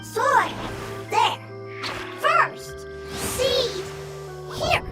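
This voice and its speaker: A woman voicing a small, worried spirit creature in a video game, speaking in halting, broken phrases. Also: very high-pitched, expressive, loud music behind the voice, full character voice. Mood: grateful, urgent. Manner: halting, fragmented short phrases. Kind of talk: video game character acting.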